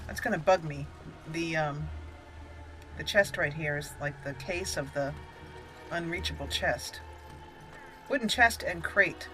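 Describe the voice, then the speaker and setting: A woman giving playful, expressive let's play commentary, chatting and thinking aloud as she explores a game. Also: low voice, a little slow, long pauses, music in the background, poor audio. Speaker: gaming video host.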